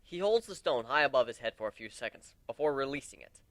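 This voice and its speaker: A man's voice: medium pitch, lively intonation, fast, fairly bright tone, no room sound. A man giving an amateur dramatic reading of a first-person fantasy adventure story.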